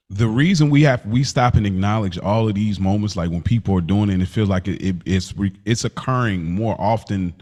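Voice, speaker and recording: A man's voice: on the low side, a little mellow. A man talking casually as a podcast co-host, slangy and emphatic, often repeating phrases to drive his point. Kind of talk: casual conversational podcast chat.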